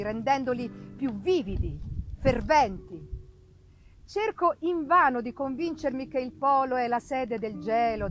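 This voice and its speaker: A woman's voice: high voice, noisy background. A woman voicing an ardent male explorer writing to his sister, in an emphatic, declamatory dramatic reading. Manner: declamatory, emphatic, with swelling and falling phrases. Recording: live reading over music. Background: live musical accompaniment.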